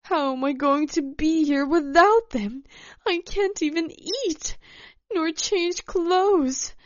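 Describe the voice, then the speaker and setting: A woman storyteller voicing a furious, irritable, demanding woman who snaps and wails loudly. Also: mid-range voice, lively intonation, extremely slow, no echo, highs cut off. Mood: furious, distraught. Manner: loud, snapping, wailing outbursts. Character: furious, shrill, foul-mouthed woman hiker, irritable and demanding.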